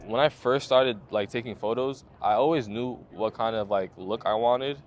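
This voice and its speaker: A man in his twenties, a photographer, telling his story in a laid-back interview with lots of slang, fillers and swearing. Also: medium pitch. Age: 20s. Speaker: photographer guest.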